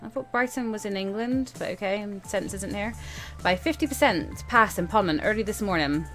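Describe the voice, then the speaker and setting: Female let's-play host, mid-pitched, informal, reading on-screen text aloud with chatty asides, music behind her. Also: a little fast, a bit bright. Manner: informal, stumbles over read text and comments on it. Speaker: gaming video host.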